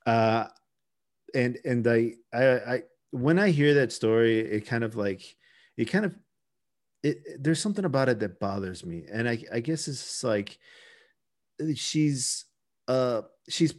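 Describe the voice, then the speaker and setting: A man's voice: mid-range voice, animated, measured pace. A man co-hosting a podcast, discussing folklore casually, often circling back and repeating words as he thinks. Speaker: podcast co-host.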